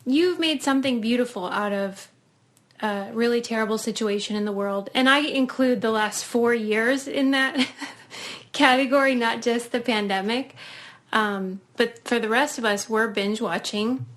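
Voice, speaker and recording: Woman, podcast host, casual, appreciative, somewhat high-pitched. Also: measured pace. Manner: warm, appreciative, chatty. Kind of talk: casual interview chat.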